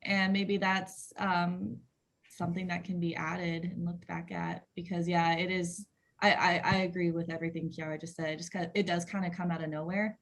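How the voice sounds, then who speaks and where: A woman giving casual feedback on a video call in a hesitant, halting way. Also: lowish voice, flat delivery. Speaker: table read participant giving feedback. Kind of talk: casual discussion.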